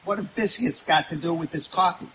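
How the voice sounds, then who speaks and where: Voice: mid-range voice, a little fast, a bit bright, a little hiss or hum, natural voice, poor audio. A performer playing a dry, puzzled husband, the straight man in an old-time radio comedy.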